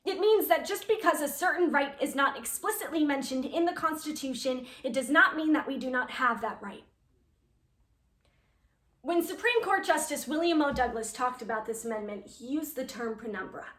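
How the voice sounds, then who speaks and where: Woman acting high-voiced, earnest, eager playwright monologue. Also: lively intonation, moderate pace, a bit bright, distant mic. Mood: earnest, emphatic. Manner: chatty, emphatic, direct address. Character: earnest, eager woman playwright reliving her fifteen-year-old speechmaking self. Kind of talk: theatrical monologue addressed to an audience. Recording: echoey room.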